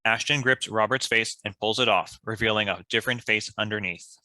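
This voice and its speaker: A man's voice: lowish voice, brisk, sharp tone, poor audio. A man reading stage directions as scripted narration for a table read.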